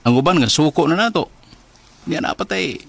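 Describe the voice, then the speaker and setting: An out-of-work Filipino husband with a Cebuano accent, losing his faith in a radio drama. His fairly high voice creaks with fry and moves widely between weary sighs and anguished pleas. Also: animated, moderate pace, a bit bright, heavily acted. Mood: worried, defeated.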